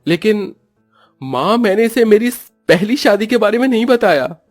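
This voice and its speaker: A man's voice: high voice, lively intonation, normal speed, soft background music. A man playing a young husband in a cartoon story, speaking in an emphatic, melodramatic manner. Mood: hesitant.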